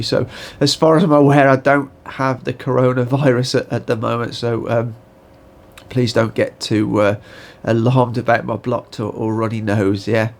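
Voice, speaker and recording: A man from the United Kingdom giving a daily news update, with a croaky voice and a blocked nose, hesitating often and checking his notes. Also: medium pitch. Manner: hesitant, many fillers and restarts, reading from notes. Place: home in southern China.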